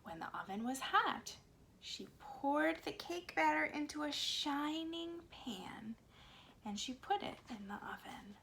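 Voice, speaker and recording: Slow-paced woman storyteller, higher voice. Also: gravelly, a little hiss or hum. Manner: slow, deliberate, animated phrasing with dramatic pauses. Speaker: speech pathologist and children's storyteller. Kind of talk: expressive read-aloud storytelling for young children.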